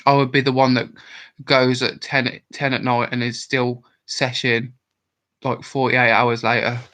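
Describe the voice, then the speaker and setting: Tipsy West Midlands man, bright voice. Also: medium pitch, level tone, unhurried. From Worcestershire, England. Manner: rambling, chatty, answers viewer comments aloud. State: drunk. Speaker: livestream host. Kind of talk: casual livestream chat with viewers.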